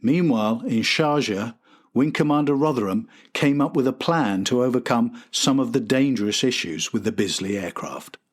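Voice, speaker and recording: A man's voice: mid-range voice, normal speed. A man hosting and narrating a history documentary from a script. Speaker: host and narrator.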